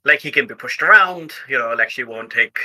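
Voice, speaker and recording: Very bright-voiced man chatting over a game. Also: mid-range voice, animated, a little fast. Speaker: tabletop game player. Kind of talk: casual game-table chat.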